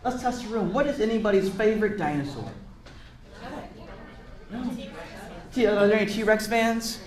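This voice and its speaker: A man's voice: high-pitched, animated, moderate pace. A man reading a picture book aloud to children, encouraging them and chatting in asides to explain words and ask questions. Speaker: read-aloud storyteller for children. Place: roomy indoor space with a group of children. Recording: echoey room.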